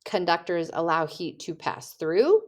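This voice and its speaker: A woman's voice: on the low side, very animated, unhurried. A woman teacher reading test questions aloud to her students, patiently asking them to choose answers. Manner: patient, asks questions back to the listener. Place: at a computer, screen recording.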